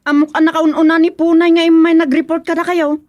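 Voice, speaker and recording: An actress playing an excitable, gossipy village woman in an Ilocano radio comedy, whining and exclaiming as she complains. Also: very high-pitched, fairly quick, muffled recording. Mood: aggrieved. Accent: Filipino, Ilocano.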